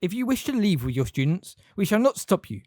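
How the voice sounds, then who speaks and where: A reader voicing a stern older woman who gives sharp, scolding commands. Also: medium pitch, very animated, a little fast, no room sound, studio quality, voice pushed up.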